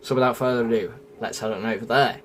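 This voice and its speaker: A man's voice: mid-range voice, steady tone, quick pace, a little hiss or hum. A man hosting a gaming guide, explaining things step by step to viewers in a scripted narration style. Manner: explanatory, addresses viewers as folks. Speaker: gaming video host. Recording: music under the voice. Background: music.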